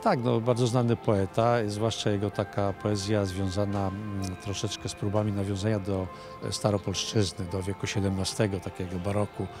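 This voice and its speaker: A man's voice: fairly low pitch, little pitch movement, normal speed, hardly any pauses. A man in his sixties giving off-the-cuff answers in a street interview. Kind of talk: off-the-cuff vox pop answers. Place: outdoor city pedestrian street. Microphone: handheld interview microphone. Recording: music laid under street interview.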